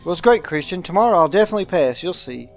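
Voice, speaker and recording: A man's voice: sits high, animated, fast talker, soft background music. A man voicing a nervous, apologetic young male student in a visual novel, hesitant and evasive.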